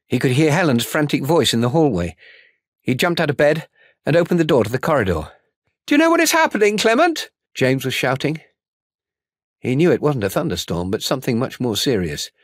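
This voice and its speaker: A man narrating an audiobook in a scripted, dramatic style, with measured, suspenseful delivery. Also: mid-range voice, expressive, slightly rough, dry recording, studio quality.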